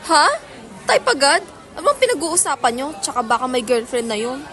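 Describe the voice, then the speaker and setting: A woman's voice: sits high, very animated, normal speed. A woman playing a spirited teenage schoolgirl in an amateur radio drama. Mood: curious. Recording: noisy room with chatter behind.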